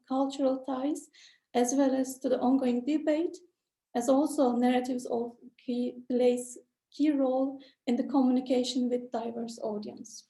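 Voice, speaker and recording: A woman presenting a research paper at an online conference, reading in a measured, formal way. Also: high-pitched, dark tone, reverberant. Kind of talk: read academic presentation.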